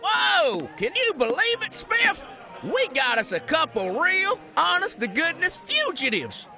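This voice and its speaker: A man's voice: extremely high pitch, huge pitch swings, measured pace, gravelly, sharp tone, soft background music, exaggerated voice. A man voicing a jumpy, overeager cartoon cowboy deputy with folksy, dramatic Western talk. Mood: excited, eager.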